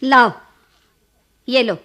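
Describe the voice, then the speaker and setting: Scolding elderly wife, highish voice, exasperated, sarcastic, sharp-tongued, radio play acting. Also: female voice, very slow, heavily acted. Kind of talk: Hindi radio drama acting.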